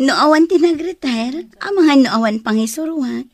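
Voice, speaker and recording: A woman's voice: high voice, lively intonation, slow, a little mellow, no room sound, full character voice. A woman playing a teasing young friend in a radio drama.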